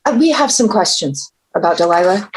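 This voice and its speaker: An actress playing a no-nonsense police detective with a wry, matter-of-fact manner in a scripted table read. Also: female voice, lowish voice, animated, brisk, slight background noise, close to an everyday voice, rough recording. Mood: businesslike. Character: no-nonsense, dryly coaxing female police detective.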